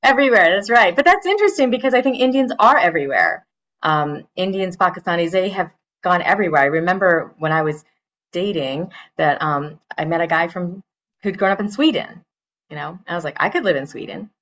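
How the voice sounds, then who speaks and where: A middle-aged woman moderating an author panel over a video call, friendly and quick to laugh. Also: lowish voice, lively intonation, fairly bright tone, highs cut off.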